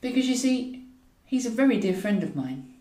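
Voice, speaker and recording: A woman's voice: on the high side, very animated, moderate pace, warm tone. A woman voicing a bluffing female talent agent in an audiobook, politely gushing with careful tact. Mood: fond, concerned. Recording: roomy echo, distant from mic.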